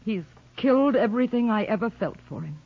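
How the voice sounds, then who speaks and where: An actress playing a composed, businesslike, fed-up wife in an old-time radio drama, speaking in a crisp, no-nonsense way. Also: female voice, on the high side, expressive, a little fast. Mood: firm, exasperated. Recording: muffled old radio recording.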